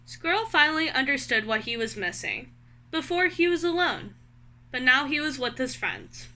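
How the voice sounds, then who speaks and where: Bright, high-voiced woman reading to children. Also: moderate pace, slight background noise. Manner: expressive, clearly addressing young children. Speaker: read-aloud host. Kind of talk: children's story read-aloud with questions to listeners.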